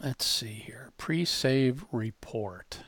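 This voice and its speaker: A man co-hosting a casual software demonstration, talking informally and emphatically, straight to the listeners. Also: mid-range voice, lively intonation, takes it slowly, no room sound. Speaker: co-host demonstrating an online tool.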